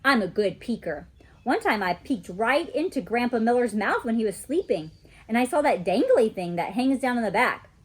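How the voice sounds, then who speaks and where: Woman voicing an excitable, animated little storybook girl. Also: on the high side, normal speed, slightly rough. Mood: cheeky, matter-of-fact. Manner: childlike, emphatic, chatty storytelling with kid grammar. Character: excitable, mischievous little kindergarten girl telling her own adventures. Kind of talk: children's storybook read-aloud.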